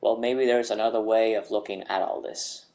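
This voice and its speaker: A man giving casual, halting game commentary, often repeating himself. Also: lowish voice, fairly even intonation, a little mellow. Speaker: gaming streamer.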